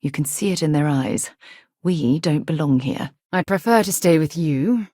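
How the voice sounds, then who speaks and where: An earnest, composed space explorer for a video game. Her voice sits low and a little rough at the edges, recorded very dry and close, and she speaks articulately, like a principled scientist in command. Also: expressive, normal speed, mellow, dry recording. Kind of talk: video game companion dialogue.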